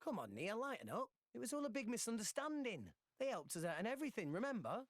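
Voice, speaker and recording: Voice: medium pitch, sing-song, fast talker, close to an everyday voice. An actor playing a cheerful, optimistic teenage boy salvager in a video game, upbeat and eager. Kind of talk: video game cutscene acting.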